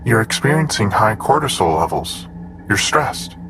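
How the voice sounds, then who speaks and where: A man playing a composed android assistant in an audio drama, speaking in a clinical, measured, matter-of-fact manner. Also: fairly low pitch, big pitch swings, rough recording. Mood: clinical, informative. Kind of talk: scripted audio drama dialogue.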